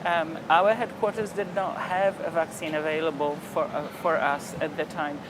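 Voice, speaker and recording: An older man from Poland, a diplomat speaking in a television interview in a reflective, measured way with frequent hesitations. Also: on the high side, steady tone, noisy background. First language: Polish. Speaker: ambassador.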